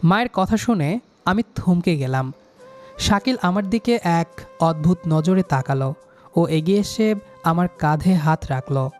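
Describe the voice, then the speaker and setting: A man's voice: on the high side, expressive, a little slow, darkish tone, soft background music. A young man narrating a scripted first-person love story in a dramatic, sentimental manner.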